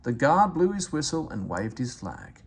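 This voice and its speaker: A man's voice: medium pitch, very animated, normal speed, vocal fry, husky. A man narrating a children's story aloud as a read-aloud reader, with faint noise in the recording.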